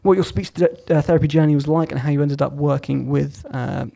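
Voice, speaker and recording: A man hosting a podcast in a dry, close recording. His voice is a little higher than average, gravelly and mellow. He asks questions with a friendly, halting manner. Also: fairly even intonation, dry recording. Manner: friendly, hesitant with many ums. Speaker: podcast host. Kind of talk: casual podcast interview.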